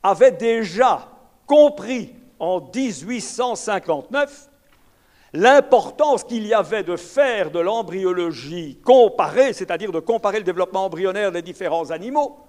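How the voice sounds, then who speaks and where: A man's voice: high-pitched, lively intonation, measured pace, darkish tone. An older man with a Belgian French accent giving a public lecture, emphatic and full of rhetorical asides to his audience. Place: lecture hall.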